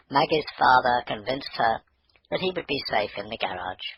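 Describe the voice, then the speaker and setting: A man's voice: medium pitch, fairly bright tone, a little hiss or hum, low-quality recording. A man narrating a scripted children's bedtime story as the storyteller.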